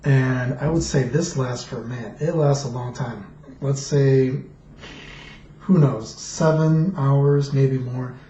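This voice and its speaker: A young man with a deep voice casually reviewing fragrances, rambling with many fillers and restarts into a quiet desk microphone. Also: steady tone, takes it slowly, echoey room, muffled recording, slight background noise.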